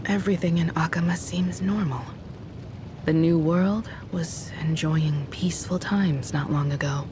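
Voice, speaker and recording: A woman voicing a composed, caring crew leader in a video game, courteous and measured. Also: deep voice, steady tone, reverberant, in a large hall, background noise.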